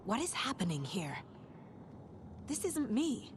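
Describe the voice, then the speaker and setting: A woman's voice: a little high, very animated, slow. An actress playing a distraught investigator trapped in her own mind, anguished and searching as she talks to herself in a video game. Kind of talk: dramatic game voice acting. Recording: loud noisy ambience behind the voice.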